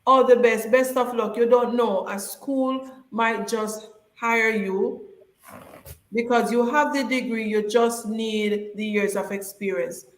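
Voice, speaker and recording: A woman's voice: on the high side, steady tone, slow, roomy sound, rough recording. A woman co-hosting a live stream, reading out viewers' questions and encouraging them to like and subscribe. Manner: reads out viewer comments and urges viewers to subscribe.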